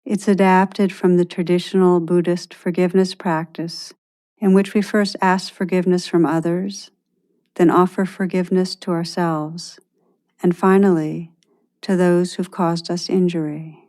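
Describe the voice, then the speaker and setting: A woman guides a meditation with a mellow, relaxed tone. She speaks very slowly and gently, leaving long pauses. Her delivery stays even and calm in pitch.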